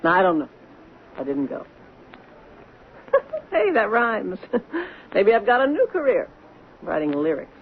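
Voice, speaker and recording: On a tinny, noisy radio recording, a tipsy, sardonic woman drawls through a drama with arch pauses. Her lively, swinging voice sounds mocking and bitter, like someone drowning a failing career in drink. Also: medium pitch, breathy edge, full character voice. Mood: tipsy, flippant. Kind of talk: 1970s radio drama acting.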